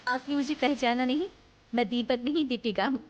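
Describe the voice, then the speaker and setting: A woman's voice: sits high, brisk, very noisy, close to an everyday voice. A woman voicing a sweet, sincere young daughter-in-law in a cartoon moral story. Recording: dull lo-fi sound.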